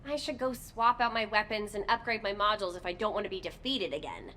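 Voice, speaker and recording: An actress voicing a spirited, informal female fighter in a video game, with expressive delivery. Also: medium pitch, fast, slight background noise, lightly acted. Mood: wry, brisk. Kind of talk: scripted game voice lines.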